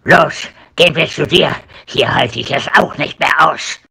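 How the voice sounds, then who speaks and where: An actor playing a grumpy evil sorcerer in an audio drama, raspy and irritable, prone to bluster. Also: male voice, a little high, unhurried, sharp tone, heavily acted. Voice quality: raspy, gravelly. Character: grumpy evil male sorcerer and castle lord. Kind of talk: German audio drama acting.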